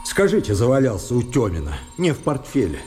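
A man's voice: mid-range voice, wildly swooping pitch, brisk, dark tone, faint music behind. An actor playing an educated, ashamed man who confesses earnestly and insistently in a radio drama. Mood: confessing. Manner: earnest, insistent, repeating himself. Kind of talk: Russian radio drama acting.

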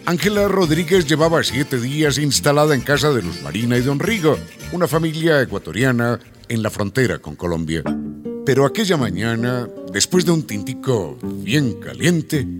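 A man narrating a radio soap in a scripted, dramatic and expressive storytelling style. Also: medium pitch, normal speed, natural voice. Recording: guitar music bed under the voice.

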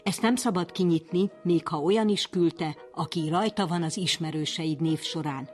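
A mid-pitched voice reads an internet virus warning in an urgent news style. Faint music plays underneath.

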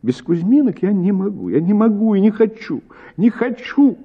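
A man's voice: high-pitched, big pitch swings, moderate pace, slightly rough, lo-fi sound, pitched above the performer's own voice, full character voice. A man reading a story, voicing an anxious married woman landowner who pleads emphatically for her family estate. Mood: desperate, insistent.

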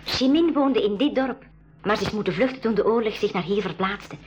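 A woman's voice: medium pitch, a little fast, lightly acted. A woman playing a caring, resolute young girl in a school radio play, speaking earnestly. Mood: compassionate. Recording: dull lo-fi 1980s radio recording.

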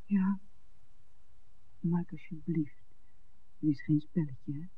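Husky, hesitant young innkeeper's daughter. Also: female voice, deep voice, takes it slowly, exaggerated voice. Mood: hesitant, smitten. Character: innkeeper's daughter, hesitant but smitten woman. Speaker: actor in a radio play. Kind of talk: 1960s Dutch radio drama acting. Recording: phone-like, narrow old radio recording.

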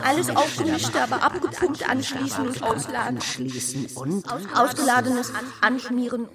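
An actress playing an insistent reciter of nonsense word chains in an experimental radio play, enunciating each piece emphatically. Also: female voice, high voice, steady tone, crowd noise. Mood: deliberate, insistent. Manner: slow, segmented, emphatic enunciation.

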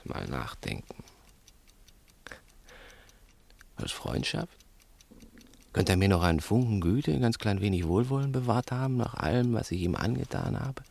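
Deep, gravelly, brooding male crook. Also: big pitch swings, moderate pace, a little mellow, heavily acted. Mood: brooding, puzzled. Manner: theatrical, musing, condescending. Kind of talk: 1960s German radio play acting. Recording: dry 1960s radio play recording.

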